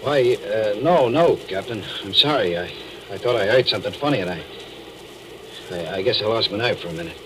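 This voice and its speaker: An actor playing a haunted, nervous deep-sea diver who narrates his ordeal haltingly in 1940s radio drama style. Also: expressive, noisy background, lightly acted. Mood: uneasy, halting. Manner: halting, hesitant, brooding. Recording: old 1940s radio recording with music.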